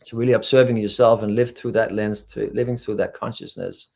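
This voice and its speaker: Guy, lowish voice, earnest rambly spiritual talk, lots of you know. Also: steady tone, a little fast, gravelly, a little mellow. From Denmark. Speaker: guest, spiritual channeler. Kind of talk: casual interview talk.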